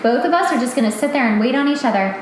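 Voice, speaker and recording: A woman's voice: sits high, expressive, rapid-fire, a little hiss or hum. Woman host in a very echoey room. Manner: emphatic, opinionated, lots of "like". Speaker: podcast host. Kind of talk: casual chat.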